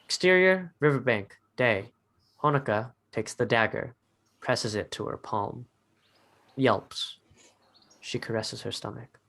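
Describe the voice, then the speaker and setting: A man narrates a scripted drama in a mid-pitched voice. He reads out scene headings and stage directions as the narrator. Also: takes it slowly, gravelly, pauses often, fairly bright tone.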